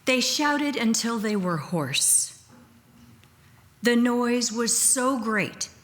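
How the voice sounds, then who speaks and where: A woman giving a solemn, dramatic scripted reading as a church reader, with deliberate, weighty phrasing. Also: medium pitch.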